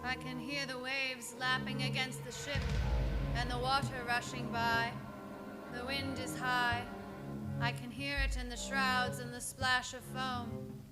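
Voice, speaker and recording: An actress as a young woman deep in a trance in a gothic radio play. She speaks slowly and high, eerily repetitive, with clear music behind her. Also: level tone. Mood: dreamy, entranced. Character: entranced young woman speaking as a haunted medium. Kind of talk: radio drama acting. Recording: music under live-streamed performance.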